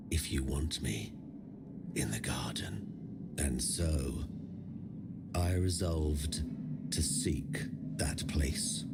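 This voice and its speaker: An actor playing a weary, haunted lone survivor in a dark fantasy game, brooding and theatrical, with hushed, breathy phrase endings. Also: male voice, a bass voice, heavy background noise, exaggerated voice. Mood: solemn, brooding.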